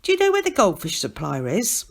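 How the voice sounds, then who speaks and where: A woman's voice: medium pitch, wildly swooping pitch, brisk, vocal fry, full character voice. A woman reading a storybook, playing an earnest, innocent young boy who sounds hopeful and questioning. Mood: eager.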